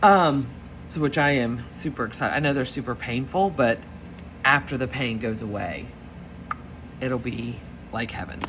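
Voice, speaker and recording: A woman's voice: very deep voice, measured pace, fairly bright tone, low-quality recording. A woman, rambling through a story full of fillers while planning, with faint background noise. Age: 40s. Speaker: planner vlogger. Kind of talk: casual chat while planning.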